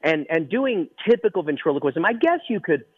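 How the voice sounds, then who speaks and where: A middle-aged man with a naturally high speaking voice, a ventriloquist telling stories with eager restarts in a phone interview. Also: sing-song, slightly rough, dry recording. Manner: eager, quick storytelling with many restarts. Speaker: ventriloquist guest. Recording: tinny phone line, dry.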